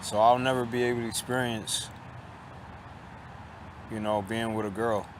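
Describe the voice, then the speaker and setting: A man in his late twenties talking in a casual confessional monologue, halting and repetitive, restarting often and swearing, with a resigned tone. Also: on the low side, little pitch movement, slight background noise. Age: late 20s. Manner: resigned, downcast tone. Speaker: vlogger. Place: outdoors on a college campus.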